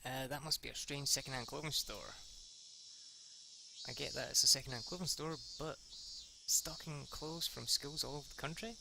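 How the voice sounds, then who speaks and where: A man reading a visual novel aloud in a halting, informal, sweary way, with casual asides. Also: mid-range voice, vocal fry, background noise. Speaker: amateur reader of a visual novel.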